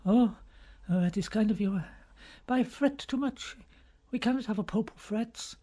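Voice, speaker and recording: A man voicing an anxious, confiding older cardinal in an audiobook, speaking earnestly and sometimes in a hush. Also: sits high, moderate pace, voice pushed up. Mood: fretful, earnest. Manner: fretful, earnest, hushed at times.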